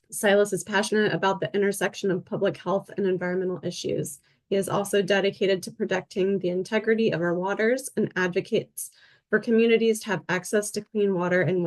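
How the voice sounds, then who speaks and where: Woman moderating a panel. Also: medium pitch. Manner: friendly, with "um" fillers. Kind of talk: moderating and reading speaker introductions.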